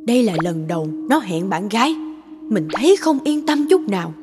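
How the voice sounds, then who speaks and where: A woman voicing an anxious, overprotective middle-aged mother in an animated moral tale, with a fretful, earnest manner. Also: medium pitch, moderate pace, over music, close to an everyday voice. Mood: watchful, uneasy.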